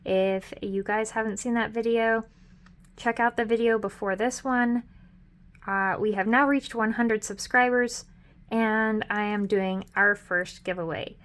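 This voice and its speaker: A middle-aged woman walking through her family budget in a chatty, upbeat way, a little hoarse and correcting herself as she goes. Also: a little high, steady tone, measured pace, fairly bright tone. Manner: chatty and upbeat, with frequent ums and self-corrections. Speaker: budgeting vlogger. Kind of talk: casual budget walkthrough.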